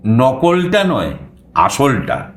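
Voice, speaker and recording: A man narrating a suspense story in the first person with expressive, dramatized delivery. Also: mid-range voice, extremely slow, reverberant.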